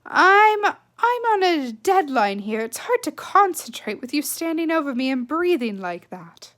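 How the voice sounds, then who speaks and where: A woman's voice: high-pitched, sing-song, a little slow, a bit bright, pitched above the performer's own voice, exaggerated voice. A woman voicing an irritable, distracted mother in a children's book, scolding with exasperated emphasis. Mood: impatient, exasperated. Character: irritable, distracted novelist mother of a young girl.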